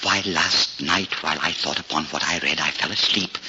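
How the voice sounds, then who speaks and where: An actor playing a humble, pious old shoemaker in a 1940s radio drama, earnest and halting, often repeating himself. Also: male voice, a bass voice, pushed and bright, full character voice. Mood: fervent. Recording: noisy, muffled 1940s radio recording.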